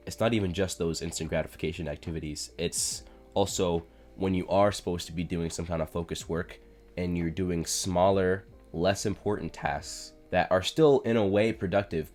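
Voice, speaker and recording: A man with a head cold and a stuffed-up voice, talking casually to camera in a self-help explainer vlog, often saying "like" and "you know.". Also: low voice. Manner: conversational, frequent "like" and "you know" fillers. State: congested from a cold. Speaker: self-improvement YouTuber.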